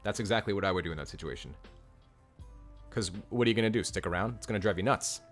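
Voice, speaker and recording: A man with a deep voice hosting a live stream, reading comments and giving blunt, direct relationship advice. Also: quick pace, soft background music. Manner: blunt, direct advice, repeats key points.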